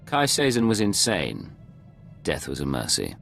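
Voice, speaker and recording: A man's voice: low voice, huge pitch swings, a little slow, gravelly, fairly bright tone, faint music behind, heavily acted. A man playing a terse undercover operative in a video game, reporting to his base. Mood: terse, cold. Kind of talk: video game cutscene voice acting.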